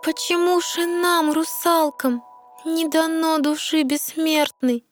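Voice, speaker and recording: A woman's voice: very high-pitched, fairly even intonation, takes it slowly, faint music behind. A woman playing a wistful, yearning young mermaid princess in a verse audio fairy tale, earnest and questioning. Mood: anxious questioning.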